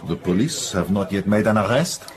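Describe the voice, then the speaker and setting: A man's voice: lowish voice, expressive, normal speed, a faint crowd, natural voice. A man playing a charming, courteous young Frenchman with a French accent in a radio drama. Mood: courteous, eager.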